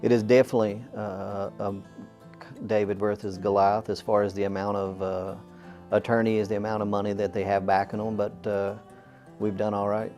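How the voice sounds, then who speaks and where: A man speaking haltingly with many fillers in a documentary interview. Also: on the low side, little pitch movement, a little mellow, soft background music.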